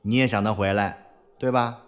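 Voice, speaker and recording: A man's voice: on the low side, fairly even intonation, moderate pace, lightly acted. A man playing a loyal, anxious underling of a boss in an audio drama, deferential and fretful. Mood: reassuring. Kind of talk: audio drama acting in Mandarin.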